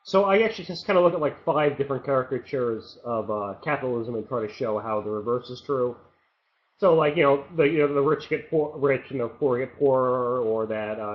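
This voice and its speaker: A man on a panel, a writer who explains his arguments quickly with many fillers and hedges. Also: mid-range voice. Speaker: guest writer. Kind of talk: casual panel discussion. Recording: dull lo-fi sound.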